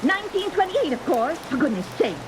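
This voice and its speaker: A prim small-town housewife in a vintage radio drama. Her voice is high and very animated, impatient and suspicious of strangers. Rainy noise covers the recording. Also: brisk. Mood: indignant. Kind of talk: 1950s radio drama acting.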